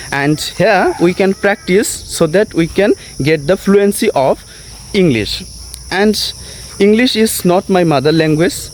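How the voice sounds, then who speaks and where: A man with a Bengali accent, a native Bengali speaker, giving an earnest motivational English lesson, often pausing with uh and okay. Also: fairly high pitch, slight background noise. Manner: earnest, repetitive, frequent uh and okay fillers.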